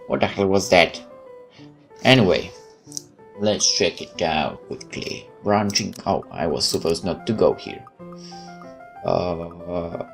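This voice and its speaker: Low, lively-pitched female gamer reading aloud. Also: gravelly. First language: Polish. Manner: stumbles and self-corrects while reading. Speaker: let's play streamer. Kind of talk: reading game narration aloud with casual commentary.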